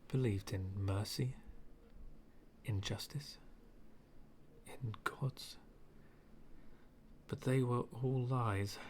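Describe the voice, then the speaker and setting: A man playing a bitter, menacing villain in an ASMR roleplay, speaking in a brooding, dramatic, half-whispered way with long pauses. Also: mid-range voice, a little hiss or hum. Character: fallen-world villain, male, bitter, menacing, coldly philosophical.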